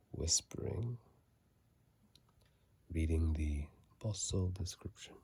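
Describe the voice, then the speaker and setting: A hushed ASMR host whispers right into a phone mic. The voice is extremely breathy, with a crackly fry underneath and a soft, dark tone. The delivery is unhurried, moving between reading and casual commentary. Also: sits low, dry recording. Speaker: video creator and host. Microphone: phone mic held close.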